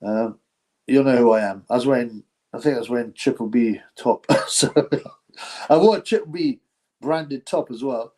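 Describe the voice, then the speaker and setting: A man's voice: mid-range voice, moderate pace, darkish tone. A man hosting a casual live stream, rambling, reading chat and laughing often between filler words. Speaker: boxing channel host reading chat.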